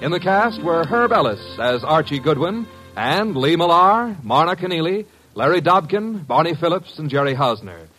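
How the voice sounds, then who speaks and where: A man with a husky voice announcing in 1950s network radio style. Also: on the high side, big pitch swings, moderate pace, fairly bright tone, low-quality recording. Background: faint music.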